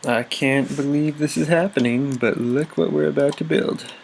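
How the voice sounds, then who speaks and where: A man's voice: fairly high pitch, fairly even intonation, moderate pace, gravelly, a little hiss or hum. Stuffy young man rambling through a game. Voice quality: stuffy, congested. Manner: rambling, upbeat, lots of ums and self-corrections. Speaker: gaming YouTuber. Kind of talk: casual Let's Play commentary.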